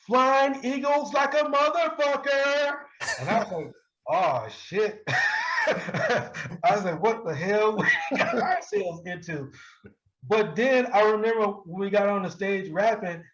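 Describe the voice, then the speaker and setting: An American man telling stories casually in an interview, profane and quick to laugh. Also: dull audio. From Milwaukee, United States. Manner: animated, profane, breaks often into high-pitched laughter. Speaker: hip-hop artist being interviewed. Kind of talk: casual anecdotal storytelling.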